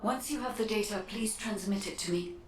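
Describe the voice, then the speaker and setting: A woman's voice: medium pitch, a little hiss or hum, lightly acted. Echoing, formal female AI guide, voiced by an actress. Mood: calm, formal. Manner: measured, formal, literal. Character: polite, calm female artificial intelligence guide. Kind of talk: video game cutscene acting.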